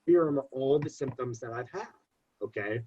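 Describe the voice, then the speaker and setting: Young man, husky congested voice, animated storyteller, dull lo-fi sound. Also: medium pitch, normal speed. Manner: animated storyteller, repeats phrases for emphasis. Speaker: podcast guest. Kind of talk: casual storytelling. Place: home. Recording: dull lo-fi podcast audio.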